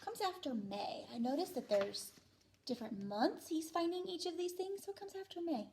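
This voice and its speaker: A woman's voice: very high-pitched, moderate pace, slight background noise. A woman teaching kindergarten, reading a picture book aloud expressively and explaining as she goes. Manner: expressive, explanatory, with stressed phrases. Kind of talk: picture book read-aloud for young children.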